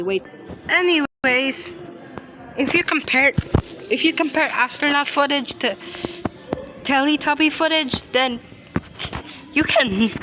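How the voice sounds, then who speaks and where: Girl with a high voice reacting to videos, talks slow, keeps stumbling n repeating words, faint music. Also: low-quality recording. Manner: halting, repeats and stumbles over phrases. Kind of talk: casual reaction commentary.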